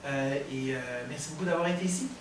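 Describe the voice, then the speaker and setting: A man gives quick closing remarks at a conference, hesitating often between phrases. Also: on the high side. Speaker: conference organizer. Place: conference room without windows. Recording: very echoey room from far off, noisy.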